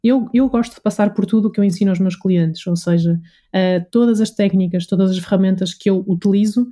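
A woman's voice: mid-range voice, a little fast, mellow. A woman with a European Portuguese accent giving conversational explanations as an interview guest, articulate and often listing her points. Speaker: voice and communication coach, interview guest.

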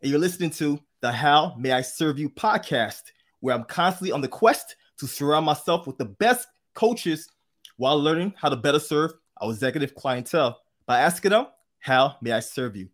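A man's voice: on the high side, expressive, normal speed. A man hosting an interview podcast, upbeat and chatty, with frequent fillers and restarts. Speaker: podcast host.

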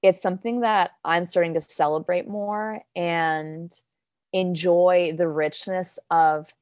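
A woman's voice: on the low side, fairly even intonation, slow, a little mellow, no echo, clean studio sound. A young woman poet from Denmark chatting in an interview, with lots of fillers and frequent laughs. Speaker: guest poet. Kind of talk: casual interview chat. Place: New York.

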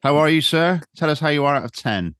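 A man's voice: on the high side, animated, fast talker, fairly bright tone, no room sound, studio quality. A man hosting a football podcast, who leads the casual chat and reads out match events. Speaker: podcast host.

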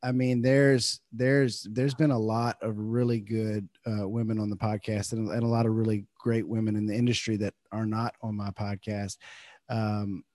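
A man hosting a podcast, talking casually in a halting way with many restarts. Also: fairly low pitch, flat delivery, a little mellow, no echo, clean studio sound. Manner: halting, many repeated starts. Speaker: podcast host. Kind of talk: casual interview conversation.

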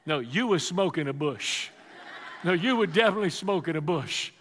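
A preacher voicing a skeptical wife who teases and doubts her husband, her voice bright and lifted. The large hall adds a long reverb. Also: on the high side, expressive, normal speed, a little hiss or hum. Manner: doubtful, wry questioning.

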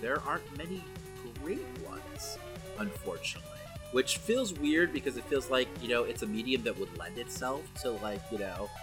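A man's voice: medium pitch, lively intonation, normal speed. A man co-hosting a podcast in casual chat, asking questions with wry asides. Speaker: podcast co-host. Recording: music behind the voice.

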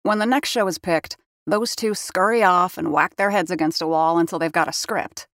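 A knowing, wry woman of the theatre. She teases in a slightly low voice, recorded close and dry, as period audio drama dialogue. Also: moderate pace, fairly bright tone, no room sound. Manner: sardonic, knowing.

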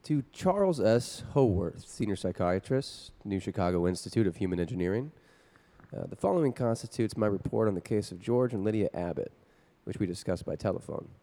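Low, mellow-voiced radio psychiatrist. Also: male voice, expressive. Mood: clinical. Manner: measured, professional. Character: composed, clinical male psychiatrist dictating case report. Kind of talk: 1950s radio drama acting.

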